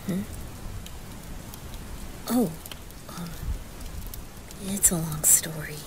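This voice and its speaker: A gentle anime boy, played by a woman, speaks slowly in a soft, breathy near-whisper. He is caring and hesitant, pausing often, in an ASMR roleplay with noisy rain ambience behind him. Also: lowish voice. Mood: curious, hesitant. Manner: hesitant, quiet, with pauses.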